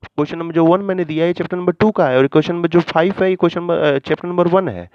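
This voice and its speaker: A man's voice: fairly high pitch, rapid-fire, smooth, dry recording. A man teaching a recorded school lesson in an instructional way, often checking understanding and mixing in English terms. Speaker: history teacher. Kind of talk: recorded school lesson, explaining exam questions. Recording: muffled, dull lo-fi audio.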